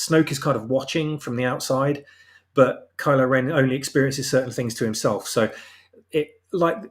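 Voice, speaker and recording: A man talking casually as an interview guest, explaining his own story and rephrasing as he goes. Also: medium pitch. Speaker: guest, audio drama writer.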